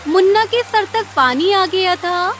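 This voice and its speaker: A woman's voice: very high-pitched, lively intonation, measured pace, a bit bright, a little hiss or hum. A woman narrator reading a scripted children's story.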